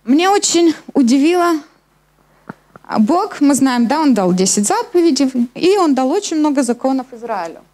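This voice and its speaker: A woman's voice: high-pitched, sing-song, moderate pace, darkish tone. A middle-aged woman preaching a sermon in an explanatory way, addressing her listeners, speaking into a handheld microphone on a church stage. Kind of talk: sermon with scripture reading. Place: church hall stage at a lectern, indoors.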